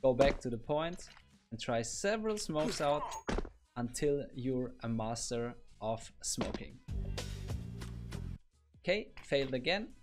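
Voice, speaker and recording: A man's voice: medium pitch, expressive, takes it slowly, pauses often, over music. A man in his thirties, a gaming coach, talking through a game tutorial in an upbeat, explanatory way from a home office on a studio mic. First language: German. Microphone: boom arm studio mic.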